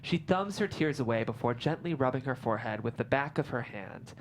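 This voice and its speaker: A woman reading stage directions as scripted narration, with expressive delivery. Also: rumbling low, brisk, a little hiss or hum. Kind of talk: scripted narration at a table read.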